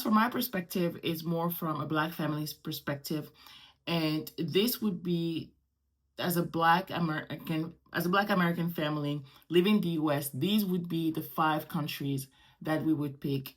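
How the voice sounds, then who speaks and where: A middle-aged woman who grew up in Morocco speaks in a low-pitched voice. French is her native language. She hosts in a casual, conversational vlog style, building her points with repeated phrases. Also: normal speed. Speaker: host.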